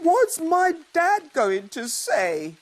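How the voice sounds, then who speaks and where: A woman voicing an excitable, anxious little boy bear in a children's storybook, wide-eyed and plaintive. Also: very high-pitched, extremely slow, pitched above the performer's own voice, exaggerated voice. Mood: upset, tearful.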